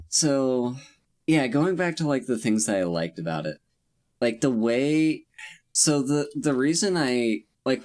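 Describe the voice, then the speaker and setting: A man's voice: lowish voice, darkish tone. A man co-hosting a casual film podcast, sniffly from a cold, rambling and hesitant as he searches for words. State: getting over a cold, sniffly. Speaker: podcast co-host.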